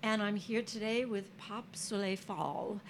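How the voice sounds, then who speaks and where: A woman hosting a casual interview in a small radio booth, introducing her guest in a friendly, measured way. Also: mid-range voice, fairly even intonation, vocal fry, a little hiss or hum. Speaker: host.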